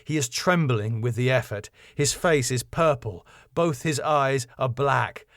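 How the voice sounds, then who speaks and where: A man reading the stage directions of his own sitcom script in a brisk, matter-of-fact way. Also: mid-range voice, fairly bright tone, no echo, clean studio sound.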